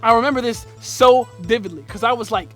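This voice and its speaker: A man's voice: very high voice, brisk, fairly bright tone, faint music behind. A young man telling a comedic personal story in a playful, slangy, punchy way, as host of his channel.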